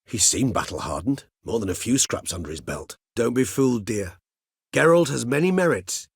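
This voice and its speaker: An ancient, learned vampire gentleman from a fantasy video game. His voice is husky and rises and falls expressively, recorded very dry. He chooses his words with formal, wry courtesy and measured precision. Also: on the low side, no room sound, heavily acted. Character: urbane, erudite ancient male vampire, courteous and loyal. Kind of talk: video game character acting.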